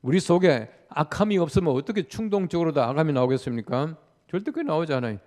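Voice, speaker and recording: A man's voice: a little high, lively intonation, fast talker, darkish tone. An older man preaching a dawn sermon in a conversational way, with stories and rhetorical questions, in a large church sanctuary. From Gyeongsang region of Korea. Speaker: pastor. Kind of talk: sermon at a dawn prayer service. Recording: long church hall reverb.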